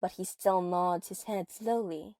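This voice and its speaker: A woman's voice: fairly low pitch, fairly even intonation, measured pace, a little mellow. A woman giving vivid, dramatic scripted narration for an audio drama. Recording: music under the voice.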